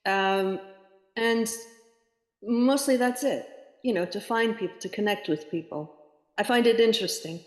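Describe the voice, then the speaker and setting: A middle-aged woman with a standard American accent, a freelance ESL teacher and editor, talking casually with a client in a halting way full of fillers. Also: medium pitch. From New York area. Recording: echoey room with long reverb.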